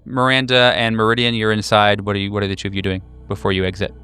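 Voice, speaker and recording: Low-voiced man running a tabletop game. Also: flat delivery, fast talker, sharp tone, smooth, faint music behind. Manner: casual, hesitant, rephrasing as he goes. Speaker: game master. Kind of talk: improvised tabletop game narration.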